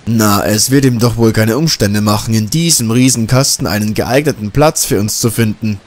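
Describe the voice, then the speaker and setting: A man's voice: fairly low pitch, moderate pace, a little hiss or hum, natural voice. Breezy, teasing male journalist played by a man. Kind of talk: audiobook dialogue.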